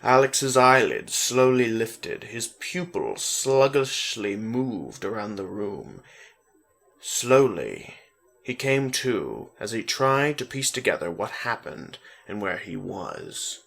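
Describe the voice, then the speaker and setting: A man narrating a story as a slow, deliberate dramatic reading. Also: on the low side, very animated, vocal fry, a bit bright.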